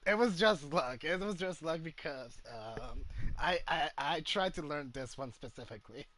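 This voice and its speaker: A man's voice: on the high side, expressive, moderate pace, sharp tone. A man giving casual, hesitant gameplay commentary as a game streamer, with an informal way of talking. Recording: game music behind the voice.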